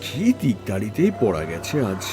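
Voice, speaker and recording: A man's voice: on the low side, sing-song, moderate pace, over music. A man playing a weary, irritable government overseer in an audio story, grumbling and bossy in colloquial speech. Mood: irritated grumbling. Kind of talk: Bengali audio story dramatization.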